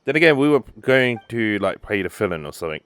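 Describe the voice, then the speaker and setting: A man's voice: fairly low pitch, big pitch swings, fast talker, fairly bright tone, clear, resonant tone, no room sound. A man giving casual, off-the-cuff commentary as he plays a video game. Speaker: gaming YouTuber. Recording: voice-over with faint game music.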